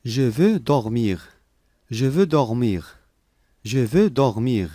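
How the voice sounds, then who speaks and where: Male lesson reader: deliberate, clear, repeats each phrase, animated intonation. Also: medium pitch, no room sound, studio quality. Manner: clear, deliberate, each sentence said three times. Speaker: language lesson voice. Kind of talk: slow repeated phrase reading for learners.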